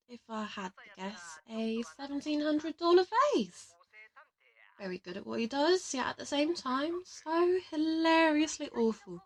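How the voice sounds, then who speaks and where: A woman's voice: high-pitched, exaggerated voice. A woman playing a teasing, worldly older assassin who chats and gives bossy advice in an audio roleplay. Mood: amused, musing. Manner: chatty, advising, bossy.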